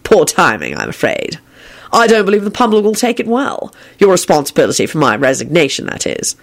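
A woman voicing a cool, dry-humoured male minister who speaks flatly and firmly, in a storybook reading. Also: lowish voice, brisk, full character voice.

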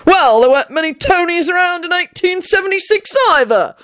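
A woman voicing an eccentric, boastful old man with a warbling, croaky voice. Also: extremely high pitch, expressive, a little fast, a bit bright, voice pushed up. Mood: indignant. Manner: cackling, blustering, indignant.

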